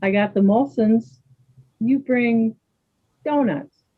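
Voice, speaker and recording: A woman playing a calm, kind, sporty Canadian woman in a readers theater dialogue. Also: on the high side, slow. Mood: friendly, matter-of-fact. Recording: thin phone-like sound.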